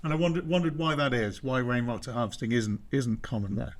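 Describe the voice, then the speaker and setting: A man hosting a podcast interview, asking questions in a relaxed conversational way. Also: mid-range voice, fairly quick. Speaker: podcast host.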